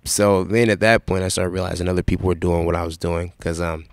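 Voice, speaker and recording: Raspy low-voiced young man. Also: fast talker, dry recording, studio quality. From Seattle. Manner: relaxed, rambling storytelling with many fillers. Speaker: rapper guest. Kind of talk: casual interview answers.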